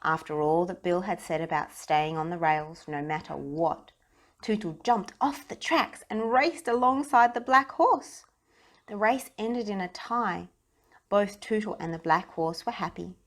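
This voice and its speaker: Casting: female children's book narrator, lowish voice, expressive read-aloud with deliberate emphasis.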